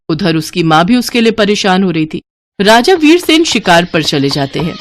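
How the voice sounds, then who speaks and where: A woman's voice: mid-range voice, a little hiss or hum. A woman narrating a children's moral tale as scripted storytelling.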